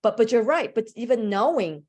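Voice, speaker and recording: A woman language instructor, a native English speaker, leading a conversational online class discussion over a video call, thoughtful and a little halting. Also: a little high, lively intonation, a little mellow. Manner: conversational, thoughtful, with a few restarts.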